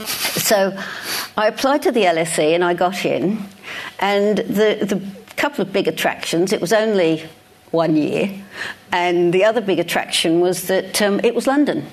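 A woman's voice: on the low side, normal speed. Australian woman reminiscing on stage. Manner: chatty, self-deprecating, with frequent restarts. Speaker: guest speaker. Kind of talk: reflective conversation on stage. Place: lecture hall with live audience.

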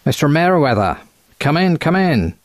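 A man's voice: a little high, very animated, moderate pace, no room sound, clean studio sound, voice pushed up. A man voicing an eager, chatty American physician with a quacking voice and folksy, clipped phrases in an audiobook. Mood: eager, welcoming. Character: thin, eager American physician running a sanitarium.

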